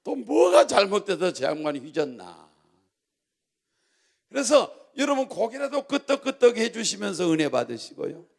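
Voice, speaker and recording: A male pastor preaching a church sermon in a gravelly voice, exhorting and repeating himself as he prompts the congregation to respond. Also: high-pitched, big pitch swings, moderate pace.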